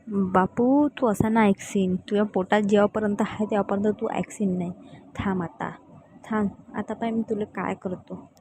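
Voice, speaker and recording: A woman's voice: medium pitch, fairly even intonation, moderate pace, darkish tone, slight background noise, lightly acted. A woman with a Varhadi Marathi accent voicing a bossy, stern village mother who scolds in an amateur cartoon comedy. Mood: threatening. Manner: scolding, repetitive commands.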